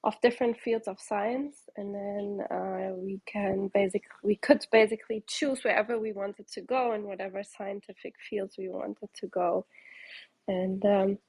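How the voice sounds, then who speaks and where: A woman's voice: mid-range voice, steady tone, a little slow, a little mellow, breathy edge. A woman from Portugal in her thirties, a startup founder answering interview questions in a rambling way with many ums and restarts.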